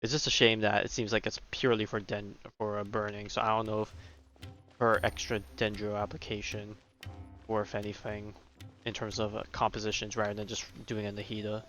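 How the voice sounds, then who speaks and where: Young male streamer: slangy, relaxed game commentary, flat delivery over faint music. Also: lowish voice, a little fast. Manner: laid-back, slangy. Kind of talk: casual live game commentary.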